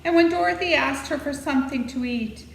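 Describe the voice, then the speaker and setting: A woman reading a children's story aloud in an expressive, playful way, with dramatic emphasis and asides to her listeners. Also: high-pitched, a little fast, vocal fry. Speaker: library storytime reader. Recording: echoey room with faint noise.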